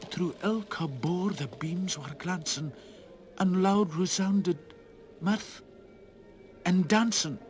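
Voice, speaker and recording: Guy doing a dramatic poem reading, highish voice, really theatrical, music playing behind him. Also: slow, long pauses. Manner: theatrical, with big swings from hushed to roaring. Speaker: poetry reciter. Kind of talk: dramatic recitation of a narrative poem.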